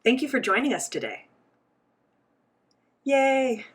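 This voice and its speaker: Husky-voiced woman interpreting an interview. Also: high voice, very animated, takes it slowly. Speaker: voice-over interpreter for a signed interview. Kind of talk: voicing sign language interpretation for both host and guest.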